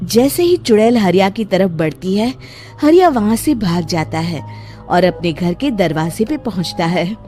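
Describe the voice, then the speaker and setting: A woman narrating a Hindi cartoon moral story in an expressive storytelling style. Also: mid-range voice, unhurried, soft background music.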